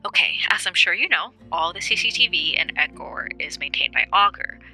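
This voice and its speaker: A woman playing a brisk, wry, helpful investigative journalist in a naturalistic audio drama. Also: on the low side, lively intonation, sharp tone, faint music behind, heavily acted, low-quality recording. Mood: wry, pleased.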